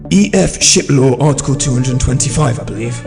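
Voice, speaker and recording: A man's voice: medium pitch, lively intonation, fairly quick. A man playing an officious starship lieutenant commander in an audio drama. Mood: smug, officious. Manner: crisp, officious, smug. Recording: voice under loud music. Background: loud music.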